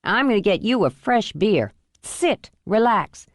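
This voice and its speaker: A woman's voice: medium pitch, big pitch swings, unhurried, no room sound, clean studio sound. A woman narrator voicing a fussy, protective male friend. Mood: fussing. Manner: fussy and indignant.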